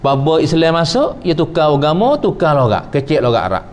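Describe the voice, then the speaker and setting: A man teaching a traditional religious lesson. He explains slowly, repeats phrases and quotes Arabic before glossing it. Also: on the high side, sing-song, darkish tone.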